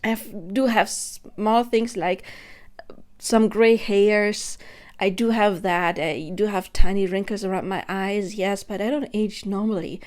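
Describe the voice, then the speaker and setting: A woman's voice: mid-range voice, fairly even intonation, measured pace, darkish tone. A woman in her thirties giving a spontaneous, earnest monologue to camera about her spiritual beliefs, thinking aloud as she goes. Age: 30s. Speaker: spiritual vlogger.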